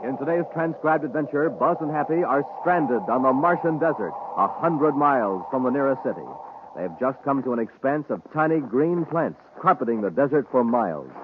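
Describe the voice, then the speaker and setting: Animated American 1950s radio announcer. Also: male voice, medium pitch, moderate pace, narrow, tinny audio. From United States. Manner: dramatic, hard-sell enthusiasm. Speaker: announcer and narrator. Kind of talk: 1950s radio announcing, scripted narration and ads. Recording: thin, hissy 1950s radio recording.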